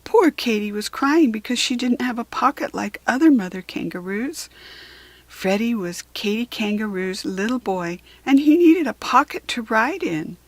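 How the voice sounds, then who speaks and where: A woman reading a children's story aloud in an expressive, dramatic narration with deliberate phrasing. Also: a little high, no echo.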